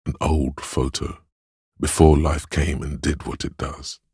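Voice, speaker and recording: A man playing the gentle, perceptive inner voice of empathy in a role-playing game, narrating in a measured, intimate, solemn and literary way. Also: level tone, no echo, clean studio sound, full character voice. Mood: tender, perceptive. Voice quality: very deep, gravelly, husky.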